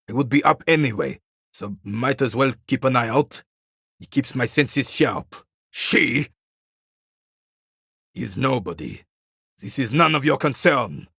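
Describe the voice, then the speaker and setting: A proud old war veteran from a video game, with a heavily creaking, husky voice that swings widely in pitch. He speaks like a formal old soldier, haughty and embittered, grumbling at those beneath him. The sound is dry and close. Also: bright, forceful tone, no room sound, exaggerated voice. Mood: guarded, curt. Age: older. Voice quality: gravelly, raspy, husky. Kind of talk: dramatic video game character acting.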